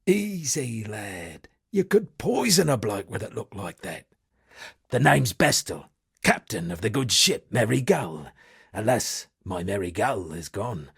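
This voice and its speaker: A shipwrecked old-world captain who fancies himself a bard in a video game. His voice is rough with heavy creak and husk, recorded close and dry. He delivers florid, pompous lines with dramatic flourish. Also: lowish voice, very animated, measured pace, dry recording, exaggerated voice. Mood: theatrical, rueful. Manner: florid, dramatic, alliterative declaiming. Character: eccentric male shipwrecked sea captain and pompous amateur poet. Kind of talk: theatrical video game character dialogue.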